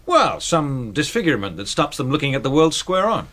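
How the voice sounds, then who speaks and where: A man's voice: a little high, sing-song, a little fast, fairly bright tone. An actor playing a touchy young American man in a radio drama, blustering and stammering. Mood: scornful. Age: young adult.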